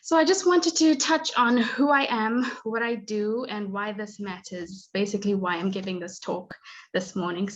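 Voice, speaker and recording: A woman's voice: mid-range voice, moderate pace, dull audio. A woman, giving a prepared talk as a guest speaker and sliding in small laughs and fillers. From born in Zimbabwe, raised in South Africa. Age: 20s. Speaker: guest speaker, graduate student.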